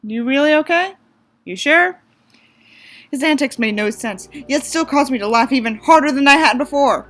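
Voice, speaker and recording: A woman's voice: high voice, moderate pace, a bit bright. A woman from the United States doing a mocking dramatic reading, theatrical, with sarcastic asides. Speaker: reader and commentator.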